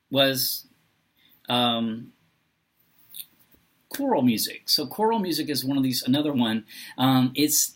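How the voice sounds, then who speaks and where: A man talking casually and unscripted as a vlogger, halting often as he searches for his words. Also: mid-range voice, fairly bright tone. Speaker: book and reading vlogger. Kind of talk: casual unscripted vlog talk.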